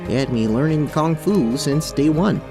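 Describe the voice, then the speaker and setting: A man's voice: a little high, lively intonation, normal speed, over music. A man voicing a small, easygoing goat-man monk in a tabletop audio drama, talking casually with some hesitation. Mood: wistful.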